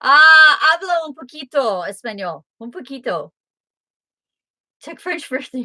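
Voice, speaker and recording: A woman's voice: high voice, sing-song, unhurried, pushed and bright. A woman from Southern Illinois hosting a tarot livestream, chatting casually and answering viewer comments aloud. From Southern Illinois, USA. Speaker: tarot reader and livestream host.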